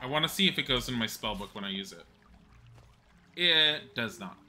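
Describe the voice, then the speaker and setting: Bright-voiced streamer in his forties. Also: mid-range voice, expressive, normal speed, slight background noise. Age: 40s. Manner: rambling, chatty, laughs at own mishaps. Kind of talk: casual gameplay commentary while chatting with viewers.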